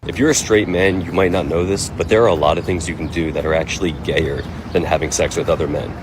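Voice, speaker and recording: A man reading out a scripted comedic list in a deadpan manner. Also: low voice, quick pace, noisy background.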